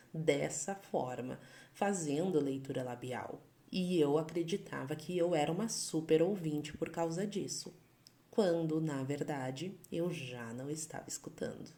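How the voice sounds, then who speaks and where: A Brazilian woman in her thirties, a sign-language educator, calmly sharing a personal testimony in a measured way. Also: low voice, echoey room. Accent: Brazilian Portuguese. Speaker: Libras educator.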